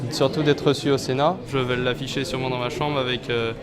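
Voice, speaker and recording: A man's voice: mid-range voice, level tone, a little fast. A young man, a trainee fisherman, giving simple, informal interview answers. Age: young adult. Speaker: trainee fisherman, award winner. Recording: music playing behind the voice. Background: background music.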